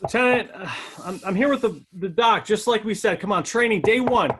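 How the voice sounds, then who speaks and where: A man's voice: high voice, a little fast, close to an everyday voice. A man playing an upbeat, coaxing and teasing Navy officer in a screenplay table read, with noise on the recording.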